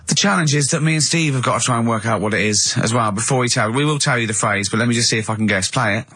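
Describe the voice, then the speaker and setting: An English man co-hosting a radio show with excitable, teasing banter and a readiness to laugh. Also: medium pitch, very fast. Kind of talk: unscripted comic radio banter.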